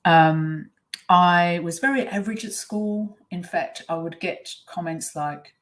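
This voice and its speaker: A middle-aged woman, whose was Swiss German, telling her own story in a webinar, candid and reflective with many pauses and ums. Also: fairly low pitch. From Australia. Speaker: webinar presenter.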